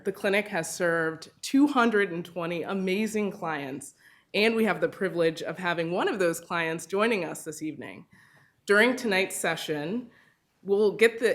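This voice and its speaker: Lower-voiced woman opening an event. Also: fairly bright tone. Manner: formal, announcing, with some uhs. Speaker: event host. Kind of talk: prepared opening remarks.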